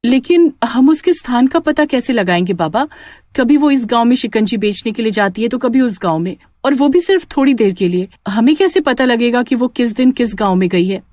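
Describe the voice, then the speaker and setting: A woman voicing a concerned village wife and mother, earnest and questioning, in an animated story. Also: on the high side, a little fast, no echo, studio quality, close to an everyday voice. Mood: anxious.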